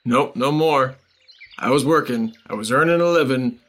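A man's voice: mid-range voice, moderate pace, a bit bright, lightly acted. A man voicing a rambling, odd old hermit who mumbles absurd boasts.

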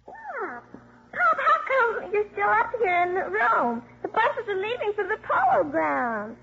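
An actress playing a blunt, slangy young tomboy daughter, pleading indignantly in a 1950s radio drama. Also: female voice, very high voice, big pitch swings, measured pace, vocal fry, background noise, full character voice. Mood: eager, puzzled. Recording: muffled vintage 1950s radio broadcast.

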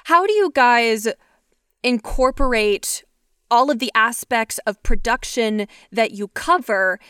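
A woman host with a smooth, clear voice. She sits a little high in her range and delivers scripted narration and interview questions. The sound is dry and close. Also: animated, slow, a bit bright, no echo. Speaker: podcast host.

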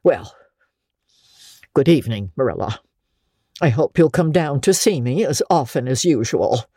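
A woman's voice: rumbling low, expressive, unhurried, mellow, husky, no room sound, clean studio sound, full character voice. A woman voicing an offended, outspoken elderly village busybody with blunt, haughty indignation, in a dramatic storybook reading. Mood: huffy indignation.

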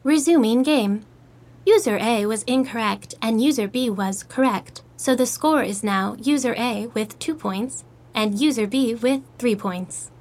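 A woman's voice: a little high, unhurried, a little mellow. A woman playing a courteous, rule-bound AI assistant in a futuristic audio drama, speaking formally like an automated announcer. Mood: polite, neutral. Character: polite, rule-bound female AI assistant in a social app. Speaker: virtual assistant and game moderator.

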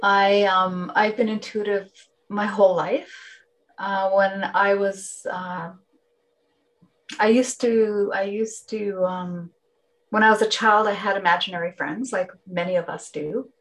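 A woman with a Canadian accent and Finnish as her first language speaks as a guest in a reflective conversation. She sits at a middle pitch and often halts mid-phrase to rephrase her thoughts. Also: fairly even intonation, a little slow, low-quality recording. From Northern Ontario, Canada. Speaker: interview guest.

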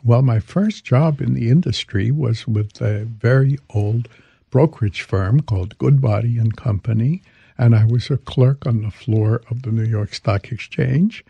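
Gravelly, husky man in his eighties, halting. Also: mid-range voice, dark tone, no room sound, muffled recording. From Hungary. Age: 80s. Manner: halting, hesitant delivery. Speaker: interview guest, veteran commodities exchange trader. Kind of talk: reminiscing in an interview.

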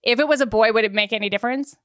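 A woman's voice: a little high, very fast, a bit bright, clean tone, dry recording, studio quality. A woman hosting a podcast in casual, conversational chat, with an informal, anecdotal and teasing way of talking. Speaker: podcast host.

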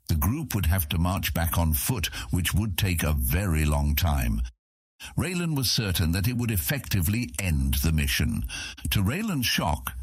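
A man with a husky voice narrating a scripted fantasy audiobook. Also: sits low, no echo, studio quality.

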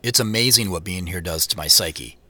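Low, bright-voiced introspective male narrator. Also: brisk. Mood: wistful, wry. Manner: introspective, wry. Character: wistful, self-conscious late-thirties man narrating his homecoming. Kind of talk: first-person scripted audio drama narration.

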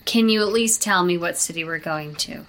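A woman's voice: fairly low pitch, moderate pace, a bit bright, lightly acted. A woman playing a nagging, cheeky young male recruit in a tabletop game, questioning and talking back. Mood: impatient, nagging. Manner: pestering, sarcastic back-talk.

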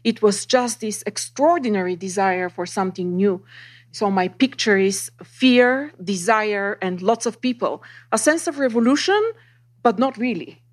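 A woman's voice: mid-range voice, unhurried. Reflective Bulgarian-born woman panelist. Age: 50s. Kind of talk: personal recollection on a panel.

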